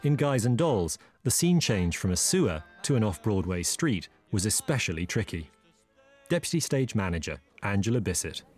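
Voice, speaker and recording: A man narrating a documentary in a measured, informative scripted delivery. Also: fairly low pitch, big pitch swings, darkish tone, faint music behind.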